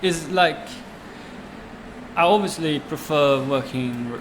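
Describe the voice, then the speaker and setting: A man in his twenties with a Swedish accent, chatting in an interview as a chef, halting with many fillers and restarts. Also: on the high side, noisy background. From Sweden. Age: 20s. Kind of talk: casual interview.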